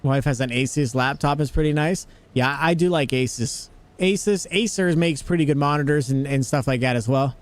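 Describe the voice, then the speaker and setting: A young man with an American accent hosts a gaming livestream, chatting loosely with viewers and a friend. His voice sits a little on the high side. He talks in a relaxed, joking way into a desk mic. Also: normal speed, hardly any pauses. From United States. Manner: chatty, greets viewers, jokey. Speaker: livestream host. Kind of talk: casual livestream chat while gaming.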